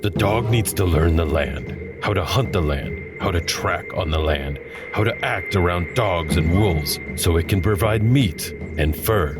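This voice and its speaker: An actor playing a wise elder man who teaches a young girl patiently, with music behind. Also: deep voice. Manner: slow, patient, instructive.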